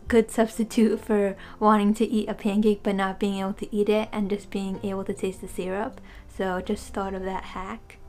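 A woman's voice: mid-range voice, warm tone. A young woman chatting casually through a snack taste test, thinking aloud with lots of fillers, recorded on a webcam in a small room. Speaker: vlogger reviewing snacks. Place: small room, seated on a couch.

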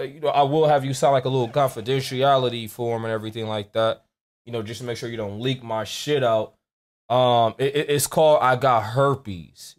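A young man with an American accent talks in a medium-pitched voice. His speech is casual and full of slang, with stuttered, repeated words, like someone making a prank call from his room at home. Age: young adult. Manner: slangy, stammering repetitions. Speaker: prank caller posing as a rapper client. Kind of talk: casual phone prank chat.

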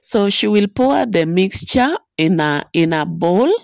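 A woman, hoarse from a bad cold, talking viewers through a baking recipe with many fillers and restarts. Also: medium pitch, lively intonation, unhurried, over loud music. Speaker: baking channel host. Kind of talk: voice-over for a cooking video. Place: voice-over recorded separately, dry room.

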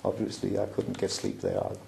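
A man's voice: low-pitched, sing-song, quick pace, gravelly, mellow, echoey room, a little hiss or hum. A middle-aged man with a Danish accent recounting a personal story in an interview, with many fillers and restarts. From Denmark. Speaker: interview guest. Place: small room indoors, seated at a table.

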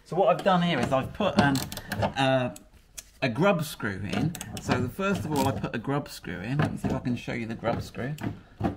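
A man's voice: mid-range voice, animated, normal speed, heavy background noise. A middle-aged British man chatting casually as he works in a workshop garage, explaining his fix step by step and joking at himself. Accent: British English. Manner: chatty and self-mocking, thinking aloud. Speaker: workshop presenter. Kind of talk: casual how-to chat while working.

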